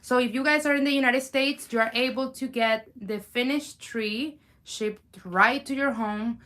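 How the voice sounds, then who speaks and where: A woman's voice: fairly high pitch, a little slow, a bit bright. A woman co-owner hosting a live bonsai stream, chatting cheerfully with viewers. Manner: upbeat, chatty, addresses viewers.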